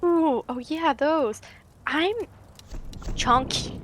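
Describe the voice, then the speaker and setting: A woman with a calming voice, chatting casually and softly as a co-streamer on a game stream. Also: high voice, very slow, a little hiss or hum.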